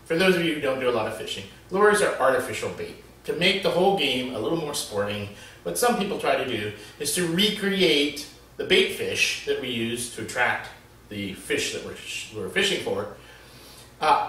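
A man in his fifties from the Florida Keys, an animal behaviorist explaining a concept in a measured way with frequent fillers, filmed on a covered porch. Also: mid-range voice, pushed and bright, a little hiss or hum. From Florida Keys, USA. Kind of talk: explanatory video lesson. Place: covered porch with wooden wall. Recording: very echoey, slightly distant.

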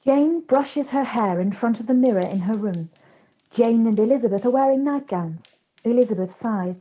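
A woman's voice: fairly high pitch, moderate pace, mellow, husky. A woman narrating stage directions for a play reading in a scripted, descriptive style. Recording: tinny, phone-like video-call audio.

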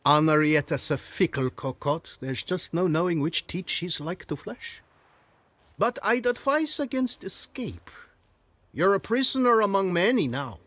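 An actor voicing a roguish, swaggering prison inmate in a video game, theatrical and chatty. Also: male voice, a little high, a bit bright, dry recording. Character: cocky, roguish male jailbird, self-styled prison king.

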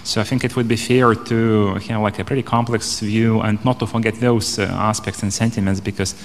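A man's voice: fairly low pitch, little pitch movement, a little fast. A man in his thirties or forties from Lithuania speaking off the cuff as a conference panelist, with a hesitant, repetitive delivery. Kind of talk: panel discussion, off-the-cuff argument. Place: indoor conference stage. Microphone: handheld microphone. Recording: reverberant hall through handheld microphone.

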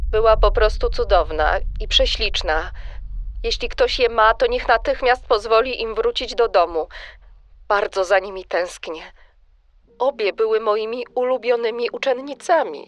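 A woman's voice: on the high side, moderate pace, no room sound, close to an everyday voice. A woman playing a young teacher pleading for missing pupils in a dramatised statement.

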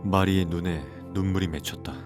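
Male horror narrator, thirties widowed father, acted: troubled, low register, clear music bed underneath. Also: fairly even intonation, a little fast, close to an everyday voice. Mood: ominous, subdued. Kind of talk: scripted horror story narration with acted dialogue.

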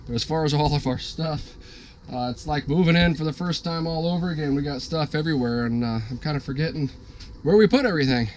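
Relaxed man in his thirties, casual vlog talk, handheld camera at arm's length, faint wind noise at a marina. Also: fairly high pitch, quick pace. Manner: relaxed, easygoing, frequent "uh" fillers. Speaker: sailing vlogger. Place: on a sailboat at a marina, cabin and deck. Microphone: handheld camera held at arm's length.

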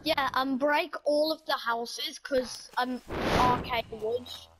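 A woman's voice: high-pitched, steady tone, takes it slowly, background noise. A young woman chatting casually with a friend while gaming, laid-back and a little dismissive. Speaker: gamer. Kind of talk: casual gameplay chat with a friend.

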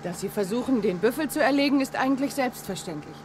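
A woman as a spirited, high-voiced young adventurer. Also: lively intonation, fast, noisy background, close to an everyday voice. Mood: assertive. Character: spirited, sensible young female adventurer, hero's companion. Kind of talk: 1980s German radio play acting.

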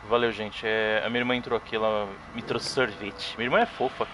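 A man's voice: lowish voice, a little fast, noisy background. A young Brazilian man streaming a horror game, chatting casually with viewers and thanking followers. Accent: Brazilian Portuguese. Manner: chatty, thanks followers, sudden excited yelps. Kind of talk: casual live game commentary.

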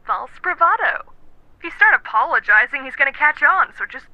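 A dull, dry telephone sound carries a bossy young friend in an audio drama. Her voice is fairly high, blunt and exasperated. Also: lively intonation, brisk, dry recording. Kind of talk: naturalistic audio drama acting.

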